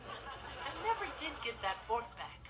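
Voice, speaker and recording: A man's voice: very high voice, sing-song, a little slow, exaggerated voice. A young male actor playing an earnest, awkward, put-upon teenage boy in a TV comedy, speaking emphatically over music. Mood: earnest, aggrieved. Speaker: sitcom character. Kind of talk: scripted comedic monologue to audience.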